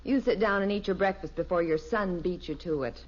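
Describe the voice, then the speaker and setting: Actress as practical 1950s radio wife and mother, calm, dryly amused, muffled vintage recording with clear noise. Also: lowish voice, brisk, lightly acted. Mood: gently firm. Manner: gently teasing, matter-of-fact. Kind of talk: 1950s radio sitcom acting. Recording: dull noisy old radio, audience behind.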